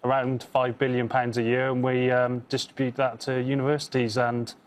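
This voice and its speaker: A man in his thirties talks casually on a quiz show as team captain, easygoing and a little rambling. His voice sits at a middle pitch. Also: moderate pace. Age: 30s. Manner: relaxed, chatty, with fillers. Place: television studio.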